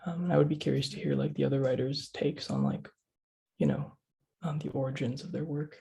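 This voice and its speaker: A man speaking casually on a panel over a video call, with a husky, creaky voice and a hesitant, thoughtful manner. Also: medium pitch, sing-song, a little fast, dark tone. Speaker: poet on a reading panel.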